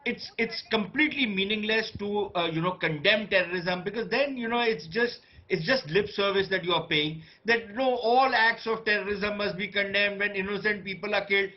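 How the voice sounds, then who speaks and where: A man's voice: sits high, normal speed, few pauses. A man with an Indian accent giving opinionated debate commentary as a panelist, blunt, scornful and emphatic. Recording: dull lo-fi broadcast audio.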